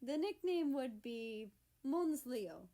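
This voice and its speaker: A woman's voice: high-pitched, sing-song, takes it slowly, warm tone, heavily acted. Woman reading as a playful, teasing young man.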